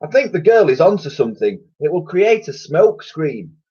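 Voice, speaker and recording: Male reader as a nervous old private; timid, halting, apologetic. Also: fairly high pitch, very animated, dark tone, breathy edge, lo-fi sound, pitched above the performer's own voice.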